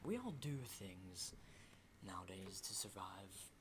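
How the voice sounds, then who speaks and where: A man playing an unsettling, lonely, hardened apocalypse survivor in an ASMR roleplay, speaking in a halting, breathy whisper and soft voice. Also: deep voice, big pitch swings, very noisy, full character voice. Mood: intense, instructive. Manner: hushed, halting, repeats words, mild swearing.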